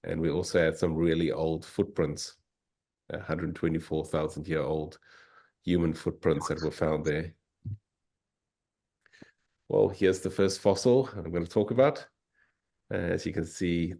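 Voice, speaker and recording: A South African man with a very low, deep voice gives a relaxed, keen presentation as a guest speaker. He comes through a video call. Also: gravelly. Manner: easygoing and enthusiastic. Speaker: fossil hunter and guest speaker. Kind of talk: informal slide presentation.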